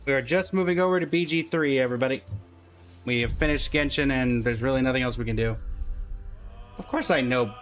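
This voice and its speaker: A man's voice: mid-range voice, fairly quick, fairly bright tone, faint music behind. A man chatting casually to viewers as he hosts a live gaming stream. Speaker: livestreamer.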